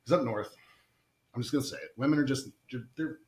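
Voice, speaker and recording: A middle-aged man from Massachusetts, a podcast guest telling casual, animated stories, laughing often and tagging lines with "you know what I mean.". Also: mid-range voice, fast, roomy sound. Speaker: podcast guest, retired soldier. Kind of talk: casual storytelling chat.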